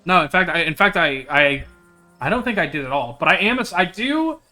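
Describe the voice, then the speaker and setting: A young man streaming a visual novel, chatting casually with his viewers. He second-guesses himself out loud, restarts his sentences and swears casually. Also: a little high, sing-song, a little fast, soft background music. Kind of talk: casual live-stream commentary while playing a visual novel.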